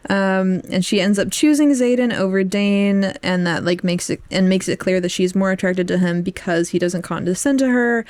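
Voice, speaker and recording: A woman's voice: mid-range voice, normal speed, few pauses, a little mellow. A young woman co-hosting a casual book podcast, playfully acting out characters' lines as she recaps. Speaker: podcast co-host.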